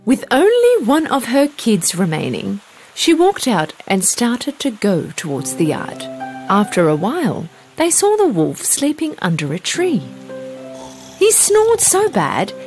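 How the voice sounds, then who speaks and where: Female bedtime-story narrator: dramatic, swooping intonation over clear background music. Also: a little high, huge pitch swings, unhurried, a little mellow. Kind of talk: scripted children's fairy tale narration.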